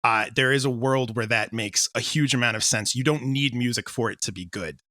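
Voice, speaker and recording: A man's voice: medium pitch, brisk, bright, forceful tone, no echo, clean studio sound. A man speaking casually as an interview guest, explaining his creative work and voicing his own thoughts as quotes. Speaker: composer and audio drama creator interviewed as a guest.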